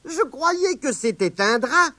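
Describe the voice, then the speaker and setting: A man's voice: very high voice, very animated, takes it slowly, bright, forceful tone, no room sound, voice pushed up, full character voice. A man voicing an eager, polite young boy.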